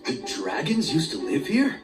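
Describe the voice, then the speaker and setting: An actor voicing an easygoing, hungry young cheetah who turns wary. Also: male voice, a little high, huge pitch swings, normal speed, far from the mic, over music. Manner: easygoing, suspicious.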